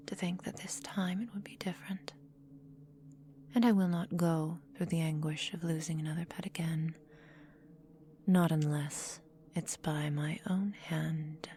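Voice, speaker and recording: Woman doing old vampire mistress asmr, breathy whisper, lowish and dark, condescending n possessive, bit of background noise. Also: natural voice. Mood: brooding, possessive. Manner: slow, deliberate, condescending, formal diction. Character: centuries-old female vampire mistress, possessive, menacing, coolly doting.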